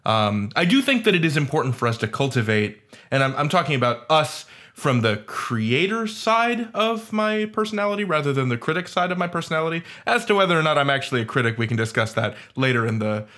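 A man's voice: on the high side, animated, brisk, a bit bright. A man who is both creator and critic, talking informally on a panel in a wry, self-deprecating way. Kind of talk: informal roundtable discussion.

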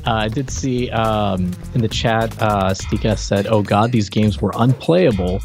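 A middle-aged man hosting a podcast, chatting informally and jokingly about retro games in a halting way. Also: fairly low pitch, vocal fry, a little mellow, soft background music. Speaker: podcast host. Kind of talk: casual retro game review chat.

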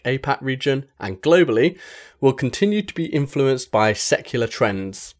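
A man reading a magazine article aloud as scripted narration, in a measured, formal delivery. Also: medium pitch. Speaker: article reader.

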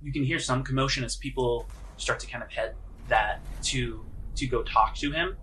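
A man narrating a tabletop role-playing game as game master, in informal, improvised, halting phrases. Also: mid-range voice, steady tone, pushed and bright, a little hiss or hum.

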